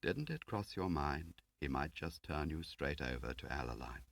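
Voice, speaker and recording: A man reading the part of an ageing spymaster, quiet, courteous, precise and probing, in a spy audiobook. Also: very deep voice, animated, moderate pace, gravelly, dry recording, exaggerated voice. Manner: understated, precise, questioning.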